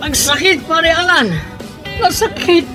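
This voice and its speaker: A man's voice: very high-pitched, a little slow, over music. An actor playing a heartbroken middle-aged father in an Ilocano radio drama, speaking emotionally and pleadingly with an Ilocano accent. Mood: anguished.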